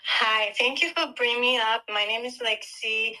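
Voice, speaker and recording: A woman from Japan with a Japanese accent, a caller speaking haltingly with many pauses and restarts. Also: fairly high pitch, steady tone, bright, forceful tone, low-quality recording. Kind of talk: call-in on a live podcast.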